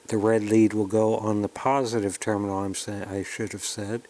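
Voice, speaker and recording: A man's voice: lowish voice, little pitch movement, moderate pace, a little mellow. A man narrating a casual hands-on electronics tutorial, plainly explaining each step as he works. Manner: plain, explanatory, talking through each step while working. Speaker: host of an electronics kit build tutorial.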